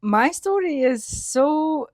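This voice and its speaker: A woman from Slovenia, a nutrition specialist, telling her story in an interview with lively swings and frequent laughs. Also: high-pitched, slow, no echo.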